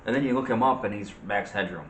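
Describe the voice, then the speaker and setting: A soft-spoken man co-hosting a casual movie chat, hesitant and searching for words. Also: lowish voice, fast talker. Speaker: co-host of a movie review show. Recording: distant, noisy, echoey room.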